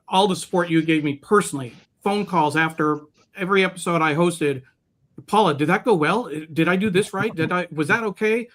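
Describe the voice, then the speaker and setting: Higher-pitched man co-hosting a livestream. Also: fairly bright tone. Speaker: co-host of a livestream show. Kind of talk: casual livestream talk.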